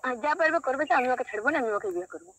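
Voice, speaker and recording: A woman's voice: high-pitched, lively intonation, racing, fairly bright tone, no echo. A young woman with a rural western Bengali accent from Purulia, arguing on a casual phone call with blunt, dismissive retorts. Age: young adult. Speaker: prank call recipient.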